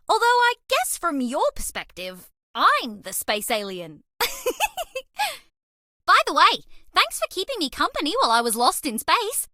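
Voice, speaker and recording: A woman's voice: very high voice, bright, forceful tone, no echo, clean studio sound, exaggerated voice. A woman voicing a bubbly, cheese-obsessed young alien rodent space traveler in a video game, with a perky, theatrical delivery. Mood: cheerful, playful. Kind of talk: animated game character voice lines.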